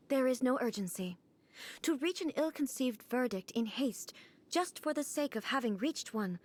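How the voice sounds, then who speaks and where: A composed, regal woman ruler speaks slowly and formally in a slightly raised register. Every sentence is measured and controlled, aloof yet unhurried. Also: high voice, slight background noise. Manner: calm, measured, formal speech. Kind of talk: video game cutscene dialogue.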